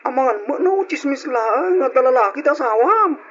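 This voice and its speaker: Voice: high-pitched, expressive, normal speed, fairly bright tone. An actor playing a skeptical, concerned close friend in an Ilocano radio drama. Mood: concerned, doubting. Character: skeptical, concerned close friend urging caution over gossip. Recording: muffled, noisy old radio recording.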